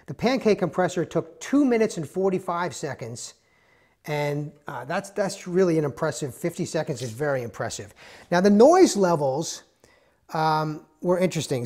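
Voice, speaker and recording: A man's voice: high-pitched, darkish tone. A man giving a casual, rambling tool review in a garage workshop, with many fillers and restarts. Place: indoors, garage or workshop with concrete floor.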